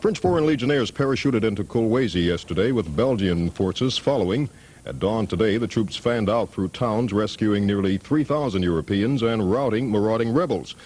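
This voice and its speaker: Husky-voiced male news anchor. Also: lowish voice, lively intonation, moderate pace, darkish tone. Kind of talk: scripted television news reading.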